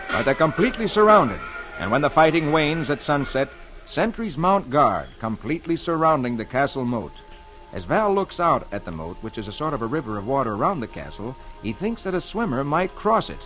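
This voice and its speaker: A man's voice: medium pitch, natural voice, poor audio. An actor playing a jolly, friendly man who reads comics to children on old-time radio, in a theatrical, emphatic storytelling style. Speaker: host reading newspaper comics to children. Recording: old radio broadcast with music behind.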